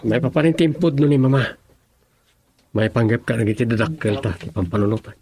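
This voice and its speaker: A man's voice: mid-range voice, fairly quick, mellow, natural voice. An actor playing an earnest, troubled young man in love in a melodramatic radio drama. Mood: downcast, earnest.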